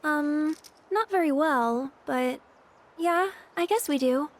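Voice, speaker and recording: High-voiced kind young adventurer. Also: female voice, takes it slowly. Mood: friendly. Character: friendly, kind young female adventurer from a city. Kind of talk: video game cutscene acting.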